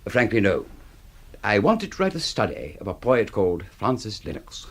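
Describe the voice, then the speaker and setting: A man's voice: on the low side, wildly swooping pitch, normal speed, breathy edge, heavily acted. An actor playing a bombastic, scornful middle-aged literary man in a radio drama, pompous and florid in delivery. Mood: boastful.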